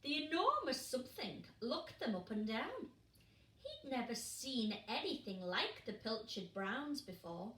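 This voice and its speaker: A teacher reading a picture book to young children, gentle and slow, pausing to ask them questions. Her voice is higher than most and swings up and down, in a roomy sound caught a little away from the mic. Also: very animated, far from the mic. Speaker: primary school teacher.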